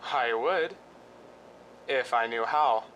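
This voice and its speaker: An actor playing a restless, scheming husband of a film star in a radio drama, hesitant and conspiratorial. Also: male voice, mid-range voice, slow pace, fairly bright tone, a little hiss or hum, rough recording. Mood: tense, conspiratorial.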